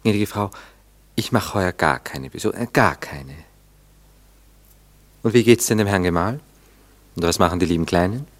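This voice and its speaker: An actor playing an idle, gallant gentleman flirt in a German radio play, teasing and languidly ironic. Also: low-pitched, lively intonation, moderate pace, pauses often. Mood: teasing, flirtatious.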